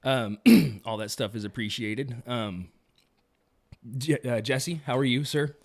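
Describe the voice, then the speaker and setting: A man's voice: mid-range voice, slow, creaky. Man, livestream host, casual joking patter with lots of laughs. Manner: laughs often, loose and joking, many fillers. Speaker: podcast host.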